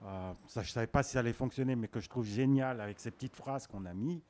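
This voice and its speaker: A man's voice: mid-range voice, fast talker, warm tone. A man speaking emphatically in a group discussion, sometimes hesitating. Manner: impassioned, emphatic, hesitant at times. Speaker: participant in a discussion. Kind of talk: spontaneous discussion.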